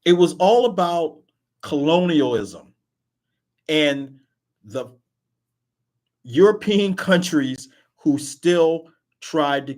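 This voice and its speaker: An emphatic man hosts an online talk radio show in loose, casual conversation. His voice sits at a middle pitch, and he doubles back on words when he gets heated. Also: a little mellow. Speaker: host.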